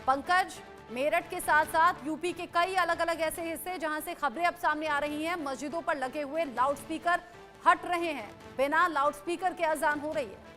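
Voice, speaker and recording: Female TV news anchor, high voice, emphatic live delivery, faint music underneath. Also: moderate pace, pushed and bright. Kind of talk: live TV news reporting and questioning.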